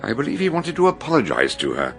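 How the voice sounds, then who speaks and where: An actor playing an older detective in a radio mystery, genial yet grave, explaining things deliberately. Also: male voice, mid-range voice, huge pitch swings, a bit bright, faint music behind. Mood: solemn explaining. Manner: hesitant openings, deliberate explanatory delivery. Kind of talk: radio mystery drama acting.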